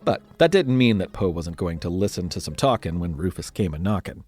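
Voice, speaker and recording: A man narrating scripted history as a podcast storyteller, emphatic, with dramatic pauses. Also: low-pitched, expressive, a little mellow, no room sound. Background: music under the voice.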